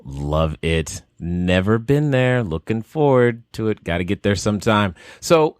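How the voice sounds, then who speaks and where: A man hosting a podcast interview, pitching his site and introducing his guest with enthusiastic, salesy energy. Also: very animated, measured pace, clean tone, no echo. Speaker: podcast host.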